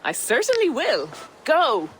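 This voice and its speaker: An actress playing a bold, cheeky Irish bowmaker in a video game, with an Irish accent and a teasing lilt. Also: female voice, high-pitched, huge pitch swings, measured pace, heavily acted, poor audio. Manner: teasing, lilting. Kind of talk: video game dramatic dialogue. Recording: outdoor game ambience, faint noise.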